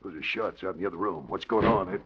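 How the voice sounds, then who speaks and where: An actor playing a gruff, snappish crime boss in 1940s radio style, with a gravelly voice. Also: male voice, low voice, quick pace, natural voice. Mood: angry, rattled. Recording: muffled, noisy 1940s radio recording.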